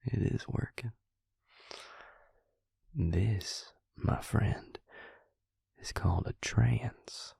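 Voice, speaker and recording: Man playing a devious vampire in gravelly whisper. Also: fairly low pitch, mellow, dry recording, heavily acted. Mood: smug, menacing. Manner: slow, taunting, self-satisfied. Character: devious, smug male vampire entrancing a victim. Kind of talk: intimate audio roleplay.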